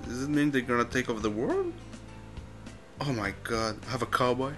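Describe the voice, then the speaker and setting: A man gives casual let's-play commentary, halting and thinking aloud as he plays. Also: mid-range voice, a bit bright, faint music behind. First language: Spanish. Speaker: gamer commentating his play.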